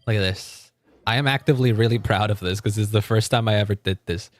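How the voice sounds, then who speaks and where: A man's voice: fairly low pitch, quick pace, soft background music. A man chatting casually on a gaming livestream, rambling and often repeating his phrases. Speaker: live streamer.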